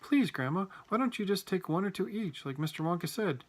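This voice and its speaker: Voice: medium pitch, animated, a little fast, voice pushed up, lightly acted. A reader voicing an eager, polite young boy in a children's story, earnest and exclamatory. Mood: pleading.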